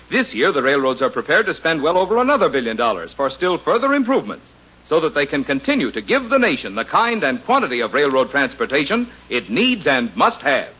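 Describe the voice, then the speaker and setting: A man reading scripted commercial copy and credits as a radio announcer, in a smooth, earnest pitchman's delivery, on an old radio transcription. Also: highs cut off.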